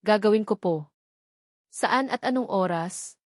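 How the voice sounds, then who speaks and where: A woman's voice: mid-range voice, a little slow, dry recording, studio quality, close to an everyday voice. A woman voicing an anxious, struggling female business owner who speaks politely and emotionally in an audio drama. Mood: resolute.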